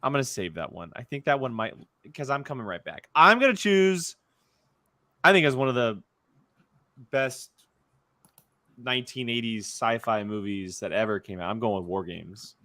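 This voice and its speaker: A man's voice: medium pitch, expressive, normal speed, long pauses, pushed and bright, dry recording, studio quality. A man hosting a casual group chat and moving the turns along. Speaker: host running the draft.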